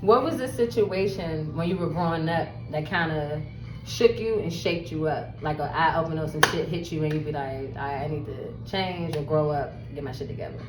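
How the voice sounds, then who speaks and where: Low-voiced woman hosting, roomy sound. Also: moderate pace. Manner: slangy, informal. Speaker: interview host. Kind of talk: casual interview. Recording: roomy, distant mic, loud music behind.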